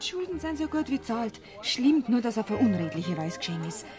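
A young Bavarian peasant woman, earnest and quick to plead. Her voice is high and swings widely. Music plays clearly behind her in the radio play. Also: very animated. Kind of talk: classic radio play acting.

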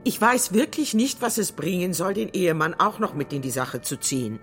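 A man's voice: high-pitched, sing-song, fairly quick, a bit bright, soft background music, heavily acted. An actor playing an impatient, sceptical male boss in a radio drama, brusque and dismissive.

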